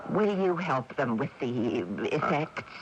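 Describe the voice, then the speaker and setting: A woman's voice: a bass voice, expressive, takes it slowly, muffled recording, full character voice. An actress playing an earnest, polite woman who acts as go-between in a radio drama. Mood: matter-of-fact. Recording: noisy AM radio tape.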